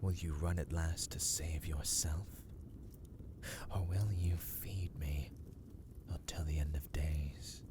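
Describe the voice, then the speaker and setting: A man playing a brooding, self-loathing vampire in a dramatic ASMR roleplay, speaking in a formal, archaic, hushed and breathy manner. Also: extremely low pitch, fairly even intonation, slow, heavy background noise. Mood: hungry, solemn. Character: brooding, self-loathing male vampire, menacing yet conflicted.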